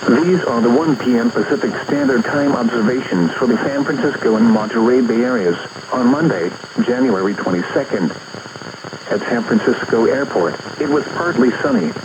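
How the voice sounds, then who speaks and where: A synthetic-sounding American man reads a scripted weather bulletin as an automated radio announcer, in a formal, measured way. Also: medium pitch. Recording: noisy, muffled weather radio reception.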